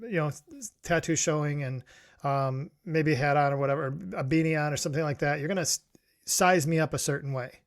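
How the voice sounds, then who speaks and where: Man, middle-aged, from New York, slightly high voice, reflective monologue, rambling with restarts. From New York, USA. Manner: rambling with frequent restarts and fillers. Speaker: podcast host. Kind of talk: reflective solo talk.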